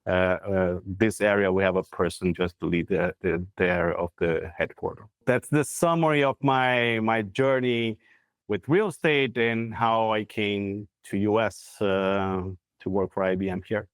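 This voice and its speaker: Interview guest: man in his forties with a Brazilian accent, smooth voice, halting English, dry room. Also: fairly low pitch, no echo. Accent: Brazilian Portuguese. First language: Portuguese. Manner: halting, searching for words with many fillers. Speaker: guest, corporate real estate head. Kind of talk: video call interview. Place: plain indoor room. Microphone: lapel mic on video call.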